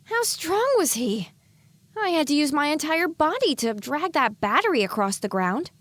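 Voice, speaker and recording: A woman's voice: high voice, sing-song, moderate pace, fairly bright tone, no room sound, voice pushed up. A woman voicing the inner thoughts of an anxious, earnest young female pony in an audio drama. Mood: bewildered. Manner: earnest, worried self-talk.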